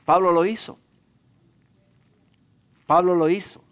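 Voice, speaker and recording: A man's voice: high voice, fairly even intonation, takes it slowly. A middle-aged man preaching a sermon as a pastor, emphatically repeating phrases and posing rhetorical questions. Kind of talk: sermon in Spanish to a congregation.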